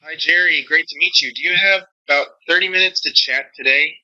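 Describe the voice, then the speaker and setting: A man's voice: fairly high pitch, a little fast, bright, forceful tone, lo-fi sound. A man's voice as a recruiter, conducting a scripted screening call in a polite, formal manner over band-limited phone audio.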